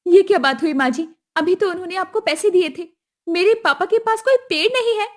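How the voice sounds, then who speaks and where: A woman's voice: very high-pitched, a little fast, voice pushed up. A woman playing an earnest young bride. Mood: firm. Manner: earnest, polite, firm.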